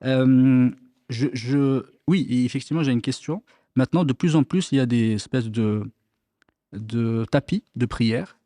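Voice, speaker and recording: Man, show host, mid-pitched, mellow dark tone, hesitant, restarts often, dry close sound. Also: unhurried, no echo. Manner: hesitant, many restarts, peppered with Arabic blessings. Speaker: host of an Islamic radio show. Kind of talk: religious discussion, asking questions.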